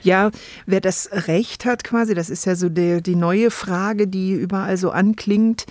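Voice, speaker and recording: A woman's voice: medium pitch, fairly even intonation, measured pace, a little mellow, dry recording. Reflective woman co-hosting, very dry sound. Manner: searching, reflective phrasing. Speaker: podcast host. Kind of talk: conversational cultural discussion.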